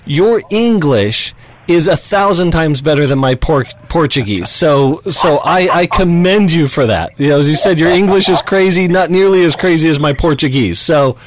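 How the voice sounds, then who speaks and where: A man's voice: a little high, animated, normal speed. A man hosting a call-in advice radio show, talking directly and challenging callers.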